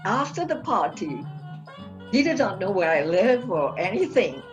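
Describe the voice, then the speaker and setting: An older woman with a Trinidadian accent, telling her life story in an interview, in a reflective, unhurried way. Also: mid-range voice, big pitch swings, music in the background. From Trinidad and Tobago.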